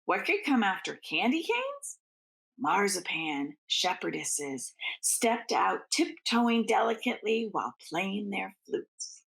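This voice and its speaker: A woman's voice: mid-range voice, big pitch swings, slow, creaky, a bit bright, poor audio. A woman reading a storybook aloud to children like a schoolteacher, in an informal way. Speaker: teacher reading aloud to students.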